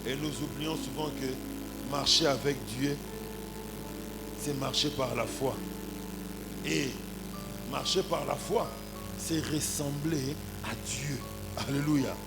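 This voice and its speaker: A man's voice: medium pitch, fairly even intonation, takes it slowly, creaky, long pauses, loud music behind the voice. A man in his forties with a Congolese accent preaching a sermon as a pastor, punctuating his points with exclamations and rhetorical questions. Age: 40s. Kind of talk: animated sermon with anecdotes and congregational address.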